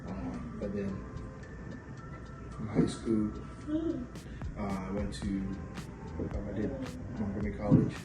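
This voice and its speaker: A man's voice: on the low side, big pitch swings, slow, pauses often. A man from Ghana, talking casually and conversationally as a guest on a show. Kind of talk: casual conversational life story. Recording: echoey, distant mic, loud music behind.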